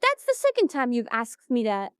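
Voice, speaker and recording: A woman's voice: a little high, wildly swooping pitch, normal speed, smooth, no room sound, clean studio sound. A woman in an animatic audio drama playing a resentful, sardonic young idol who is bossy and blunt. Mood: bitter, guarded. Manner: blunt, sarcastic, swearing.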